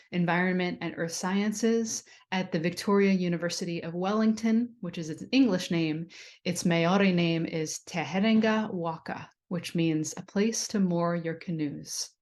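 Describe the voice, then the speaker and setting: Female interviewer, somewhat low pitch, calm and articulate, video-call delivery. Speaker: host and interviewer. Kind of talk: scripted-sounding introduction then conversation.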